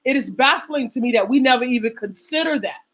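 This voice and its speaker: A woman's voice: high voice, fast talker. A middle-aged woman hosting a radio talk show, speaking in an emphatic, lecturing way that repeats phrases for weight. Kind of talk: passionate discussion.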